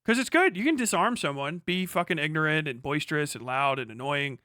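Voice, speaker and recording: A man's voice: a little high, lively intonation, a little fast, sharp tone, dry recording, studio quality. A man hosting a casual livestream, giving blunt, profane, slangy political commentary and talking back to chat. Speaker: livestream host.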